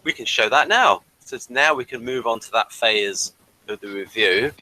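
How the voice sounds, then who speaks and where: A man's voice: mid-range voice, expressive, normal speed, bright, forceful tone, a little hiss or hum, low-quality recording. A man hosting a podcast, explaining things in a casual review discussion. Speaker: podcast host.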